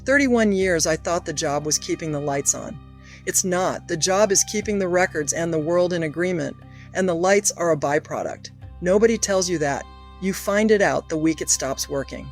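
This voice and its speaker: A woman's voice: fairly low pitch, moderate pace, faint music behind, close to an everyday voice. A woman playing a stern, plainspoken middle-aged inspector in an audio drama, clipped and matter-of-fact. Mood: reflective, blunt. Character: stern, plainspoken veteran female utility inspector.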